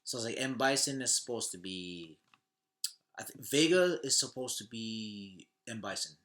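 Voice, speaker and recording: Bright-voiced man in casual podcast chat. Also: fairly low pitch, animated, moderate pace. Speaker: podcast co-host or guest. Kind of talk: casual podcast chat about video game movies.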